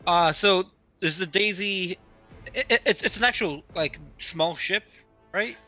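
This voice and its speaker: A man's voice: high voice, measured pace, sharp tone. A man chatting casually as a player in a tabletop roleplaying game. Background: background music.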